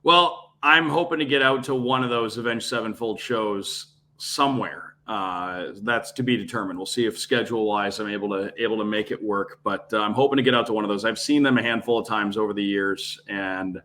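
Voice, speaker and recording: Guy with a bright forward voice, sports radio announcer chatting loose on a podcast, lots of uhs. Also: lowish voice, brisk, few pauses. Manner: relaxed, rambling, many restarts and fillers. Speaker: radio play-by-play announcer, guest. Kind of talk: casual podcast chat.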